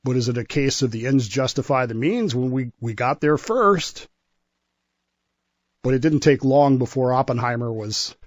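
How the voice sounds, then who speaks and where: An American man talking through history as a radio commentator, explaining in a measured way and often repeating himself haltingly. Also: medium pitch, no echo, studio quality. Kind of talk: conversational radio discussion.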